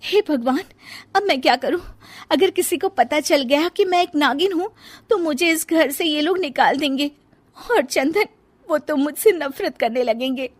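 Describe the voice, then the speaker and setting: A woman's voice: high voice, animated, normal speed, pitched above the performer's own voice. A woman voicing an anxious, meek young daughter-in-law with a secret in a family story, pleading and deferential. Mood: panicked. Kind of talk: dramatized Hindi family storybook acting.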